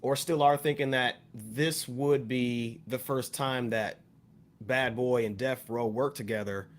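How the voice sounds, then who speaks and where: An American man talking as a podcast panelist, explaining his view on music in a casual, measured way. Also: mid-range voice, fairly even intonation. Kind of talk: casual discussion, music commentary.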